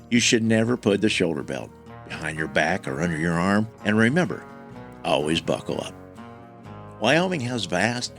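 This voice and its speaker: A man's voice: low voice, creaky, a bit bright. An American man reading a scripted public service announcement.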